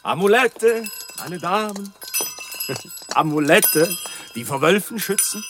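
An actor playing an ingratiating, cheeky hunchbacked peddler and charlatan in a radio play, with a creaky voice and cackling manner. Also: male voice, high-pitched, animated, slow, noisy background. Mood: wheedling. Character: wheedling hunchbacked travelling male peddler and charlatan.

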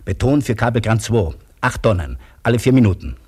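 An actor playing a male crane operator who recounts his work in measured, vivid scripted radio narration, with a husky voice. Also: lowish voice, very animated, darkish tone. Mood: matter-of-fact.

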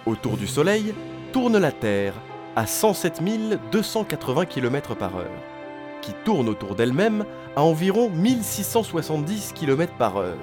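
A man's voice: a little high, big pitch swings, moderate pace, music in the background. A man delivering scripted narration in the manner of a documentary introduction to an audio drama.

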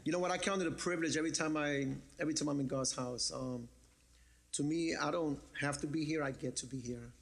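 Lively joking pastor. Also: male voice, on the high side, fast talker, creaky. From the Bronx, New York. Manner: lively, joking, conversational preaching. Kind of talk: sermon.

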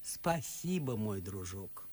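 A woman's voice: very deep voice, sing-song, slow pace, mellow, slightly rough, full character voice. An actress playing a grumpy, blunt old aristocratic lady in a theatrical radio comedy style. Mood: pleased. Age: older. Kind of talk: classic stage comedy acting for radio.

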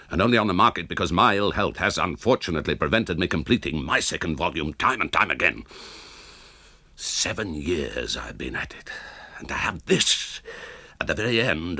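A man's voice: very deep voice, vocal fry, bright, forceful tone, no echo, full character voice. An actor playing an embittered old author who narrates his tale in a radio drama, wry, scornful and grandiloquent.

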